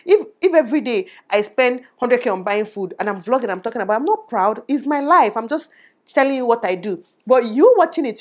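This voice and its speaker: A young woman from Nigeria with a Nigerian accent, speaking in a small but loud voice, giving her opinions in a casual vlog with animated delivery. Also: a little high. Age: young adult. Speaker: YouTuber. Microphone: lavalier.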